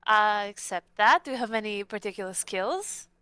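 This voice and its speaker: Woman, tabletop player: clear, bright voice on a dry mic, playful casual banter. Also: mid-range voice, lively intonation, normal speed, no echo. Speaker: player in a tabletop roleplaying game. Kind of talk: casual in-character roleplay and table talk.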